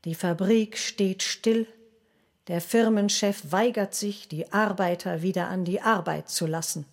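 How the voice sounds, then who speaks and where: A woman's voice: mid-range voice, fairly even intonation, unhurried. A woman reading scripted narration for a radio documentary drama. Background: music.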